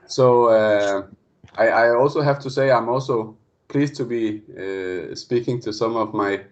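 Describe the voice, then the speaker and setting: A man's voice: mid-range voice, unhurried. A man from Denmark giving the friendly, hesitant opening of an online guest lecture, full of fillers and restarts. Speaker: guest speaker. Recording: dull lo-fi online call audio.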